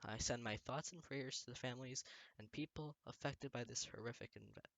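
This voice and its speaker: A man's voice: medium pitch, brisk, creaky, a bit bright, no room sound. A man playing a solemn presidential spokesman reading a formal national address in an amateur drama. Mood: solemn, resolute.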